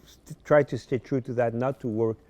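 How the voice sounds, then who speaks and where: A man talks through his story as a guest on a podcast. He speaks at an everyday pitch, stopping and restarting, often repeating words as he looks for the next one. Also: fairly even intonation, a little mellow. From Morocco, raised in France. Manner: halting, repeats words as he searches for them. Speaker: podcast guest, fashion designer. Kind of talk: casual interview storytelling.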